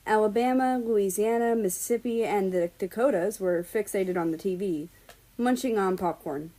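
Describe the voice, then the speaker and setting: A woman narrates a piece of fiction aloud. Her voice sits at an average pitch for a woman, and she reads in a storybook style. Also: moderate pace, warm tone, poor audio. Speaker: fan fiction reader. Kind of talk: storybook narration with acted dialogue.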